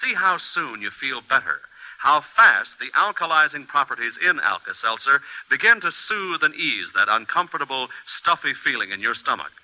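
A man's voice: mid-range voice, sing-song, moderate pace, bright, forceful tone, rough recording. A man announcing a scripted classic radio commercial, persuasive and polished, addressing listeners directly on a vintage recording.

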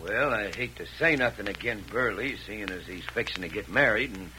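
An actor playing an excitable, aggrieved stagecoach man with folksy cowpoke talk in an old radio western. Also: fairly low pitch, brisk. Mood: reluctant, aggrieved. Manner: folksy cowpoke dialect, ungrammatical. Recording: slightly noisy old radio recording.

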